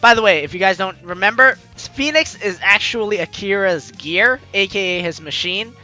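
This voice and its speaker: Bright, chatty young male gamer. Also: sits high, moderate pace, faint music behind. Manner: chatty, reads lines with asides. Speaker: gameplay host reading the story aloud. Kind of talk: let's play narration and commentary.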